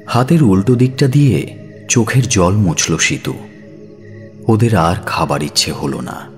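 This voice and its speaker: A man's voice: lowish voice, lively intonation, measured pace. A man reading a scripted audio story as a dramatic narrator. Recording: music under the voice. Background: background music.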